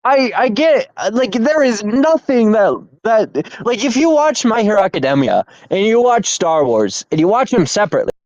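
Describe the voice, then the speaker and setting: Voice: mid-range voice, very animated, moderate pace, few pauses, darkish tone, no echo, muffled recording. A speaker in casual podcast banter, rambling, repeating phrases and swearing freely. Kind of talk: casual chat, podcast banter about pop culture.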